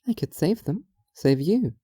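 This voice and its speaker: A woman playing a strange, earnest young philosopher in an audio drama, talking in a formal, measured, literal way. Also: fairly low pitch, sing-song, warm tone, husky, no echo, exaggerated voice. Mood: calm conviction. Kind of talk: audio drama monologue and dialogue.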